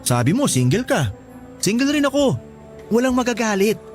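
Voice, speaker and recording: A man acting an earnest, expressive young student in love with his girlfriend in a Tagalog radio drama. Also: very high-pitched, moderate pace, soft background music. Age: young adult. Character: smitten, earnest young student suitor, pleading boyfriend.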